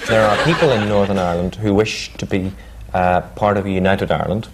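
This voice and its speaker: A man's voice: low voice, a little fast, noisy background. A man patiently explaining to an interviewer, amused at the questions.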